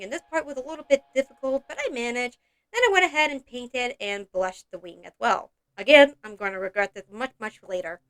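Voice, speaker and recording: A bright-sounding woman chats through a craft project in a rambling, self-deprecating way. Her voice sits fairly high and she breaks into exclamations, with light music behind her. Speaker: doll customization video creator. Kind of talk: casual craft vlog narration.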